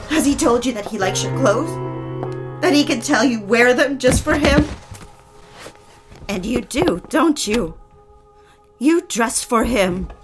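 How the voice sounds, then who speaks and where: A troubled, suspicious wife in an audio drama, acted by a woman, speaks in a high voice. She draws her words out slowly, with a mocking, theatrical edge and a drunken bitterness. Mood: angry, accusing. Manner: theatrical, mocking, slow and needling.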